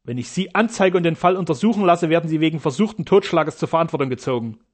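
Fearless German doctor, heated and formal, voiced a touch high by a male reader. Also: expressive, fast talker, darkish tone. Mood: indignant.

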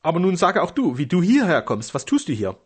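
Blunt mocking brigand, voiced by a male audiobook narrator, a little raised and breathy, storybook villain. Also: a little high, huge pitch swings, brisk, pitched above the performer's own voice, exaggerated voice. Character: gruff scheming male brigand on the run.

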